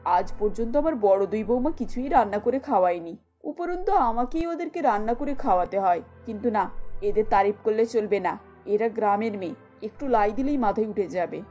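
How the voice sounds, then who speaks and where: A woman's voice: mid-range voice, lively intonation, fairly quick, mellow, pitched below the performer's own voice, close to an everyday voice. A woman voicing a stern, bossy older mother-in-law with a curt, scolding manner in a cartoon story. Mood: grudging, musing. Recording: dull lo-fi audio with music bed.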